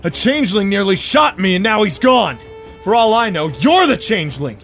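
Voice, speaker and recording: A man playing frantic pony prince, high and creaky. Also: very animated, moderate pace, fairly bright tone, faint music behind, full character voice. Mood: paranoid, defiant. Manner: agitated, defiant ranting. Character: frantic, paranoid pony prince firing magic at imagined foes. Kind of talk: animated fan audio drama acting.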